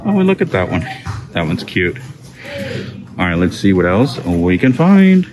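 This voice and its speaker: Host, male, lowish voice, chatty upbeat store walkthrough on a phone, noisy shop behind. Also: big pitch swings, moderate pace. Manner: chatty, upbeat, reads product labels aloud with fillers. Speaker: shopping vlog host. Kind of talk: casual store walkthrough narration. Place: inside a large discount store. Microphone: handheld phone camera. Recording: phone recording with store background noise. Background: store ambience.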